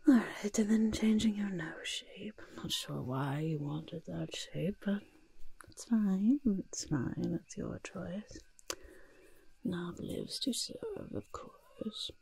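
A young woman voicing a grudging, smug goblin disguise artist in a breathy, raspy, soft-spoken ASMR roleplay, muttering reassurances close to the microphone. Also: medium pitch, animated, slow pace. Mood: busy, soothing, then resigned, wry. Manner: refers to self by name, mutters and repeats reassurances. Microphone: close microphone, face close to camera.

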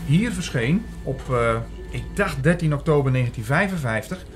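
Audio drama lead: male actor as earnest investigator-narrator, mid-range voice, measured storytelling over music. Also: mid-range voice, expressive, lightly acted. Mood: earnest, explanatory.

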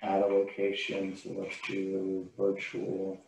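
A man giving an informal, step-by-step software tutorial as a trainer, explaining what he does on screen. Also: sits low, monotone, slow pace, creaky, a little hiss or hum. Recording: roomy, echoing sound.

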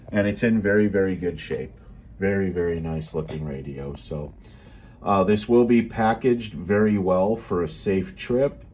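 Casting: American male product demonstrator, low and relaxed, halting and matter-of-fact, unscripted, slightly noisy handheld recording. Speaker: seller demonstrating a restored CB radio. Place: indoors at a radio bench. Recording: noisy room, handheld camera mic.

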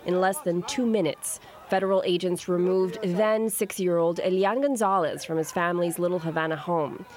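A woman's voice: fairly low pitch, fairly even intonation, moderate pace, hardly any pauses, darkish tone. A woman in her thirties, a TV news reporter reading scripted narration.

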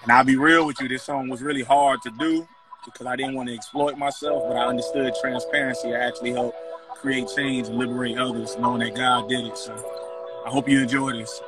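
A man's voice: mid-range voice, steady tone, fairly quick, over loud music. A young man talking casually as a guest rapper on a livestream, recorded on a phone held at arm's length in a car. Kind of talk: casual chat on a livestream show.

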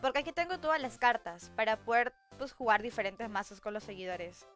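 A woman's voice: on the high side, animated, a little fast. A young woman live-streaming a game from home, chatting casually, thanking viewers and reading their messages aloud with frequent giggles. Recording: clear game music underneath. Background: game music.

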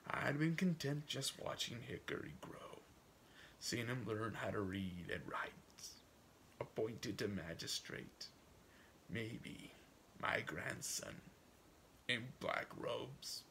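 A man reading a story aloud as a proud, stubborn old farmer, folksy and musing, with a gravelly voice that drifts into hushed asides. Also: mid-range voice, a little hiss or hum, full character voice. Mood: dreamy, wistful. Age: older. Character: proud, wistful, stubborn old farmer dreaming for his son. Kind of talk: dramatic audiobook reading in first person.

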